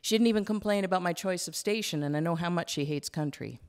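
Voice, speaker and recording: Woman to play plain-spoken older grandfather for a novel reading, gruff yet tender, confiding. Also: lowish voice, fast talker, darkish tone, natural voice. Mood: fed up. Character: gruff, tender grandfather in his late sixties. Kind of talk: acted literary reading.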